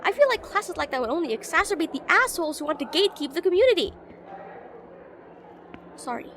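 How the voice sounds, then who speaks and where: A woman's voice: extremely high pitch, very animated, brisk, fairly bright tone, a little hiss or hum, exaggerated voice. A woman playing a shy, rambling young witch student in a slice-of-life fantasy audio roleplay, chatty, hesitant and self-deprecating. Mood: awkward, apologetic. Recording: voice over busy classroom crowd ambience.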